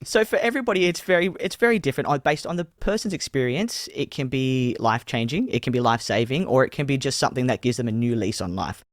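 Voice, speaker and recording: Low-voiced Australian host, dry close sound. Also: male voice, animated, fast talker, hardly any pauses, no room sound. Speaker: podcast host. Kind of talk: casual interview chat.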